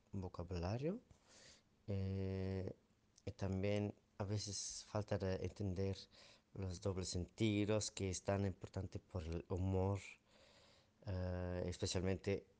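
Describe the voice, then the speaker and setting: A man's voice: fairly low pitch, a little mellow, clear, resonant tone. A man from Belgium with a Belgian Dutch accent, speaking as a Dutch native who learned the language later, answering interview questions hesitantly with many fillers and self-corrections.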